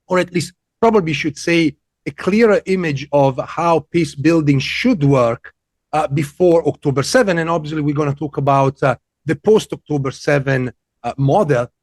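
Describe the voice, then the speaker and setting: A man hosting a podcast, reading a scripted introduction with deliberate, dramatic pacing, then asking the guest questions. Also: a little high, no room sound, clean studio sound. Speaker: podcast host.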